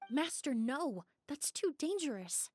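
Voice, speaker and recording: A woman's voice: high voice, big pitch swings, unhurried, darkish tone, soft background music. An actress playing a cautious, loyal, protective young woman in a video game, formal and careful. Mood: alarmed.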